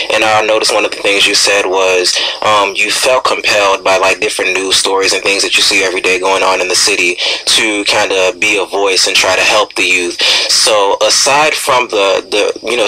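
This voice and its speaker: A man's voice: fairly low pitch, pushed and bright, low-quality recording. A man hosting a radio interview, asking long, wordy questions in a hesitant conversational way.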